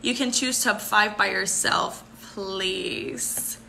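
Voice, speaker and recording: A woman's voice: mid-range voice, fairly even intonation, slow, vocal fry, sharp tone, a little hiss or hum, rough recording. A young woman in her twenties with a Puerto Rican accent, a pageant contestant answering viewer comments on a live stream, laughing often and switching between English and Spanish. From Puerto Rico. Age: 20s. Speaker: pageant contestant hosting a livestream. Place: bright room indoors. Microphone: phone camera held close.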